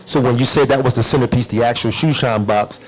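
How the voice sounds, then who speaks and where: A man's voice: medium pitch, fast talker, low-quality recording. A man taking part in a panel discussion, talking casually and reflectively. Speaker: panel participant.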